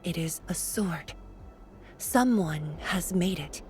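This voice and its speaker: Slow, solemn woman of authority. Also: lowish voice, lively intonation, soft background music. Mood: grave. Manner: grave, deliberate, hushed and breathy at times. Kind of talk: dramatic video game voice acting.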